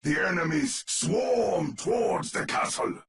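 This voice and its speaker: Grandiose, gravelly gothic storyteller. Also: male voice, sits high, animated, fairly bright tone, heavily acted. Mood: ominous, grand. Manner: dramatic, grandiose, drawn-out emphasis. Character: grand, melodramatic male storyteller of a spooky heroic tale. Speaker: storyteller narrator in a video game. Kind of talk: theatrical scripted gothic horror-tale narration.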